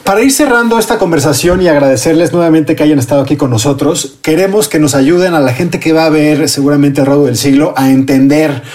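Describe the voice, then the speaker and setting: A man's voice: fairly high pitch, fast talker, few pauses, a little mellow. A man hosting a conversational interview. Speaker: interview host.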